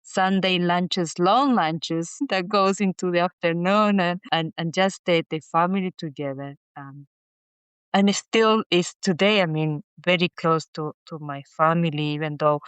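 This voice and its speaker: Middle-aged woman, smooth clear voice, dry close sound, halting restarts. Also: lowish voice, no room sound, dull audio. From Chile. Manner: halting, many restarts and repeated words. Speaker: guest, inventor. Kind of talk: casual interview talk.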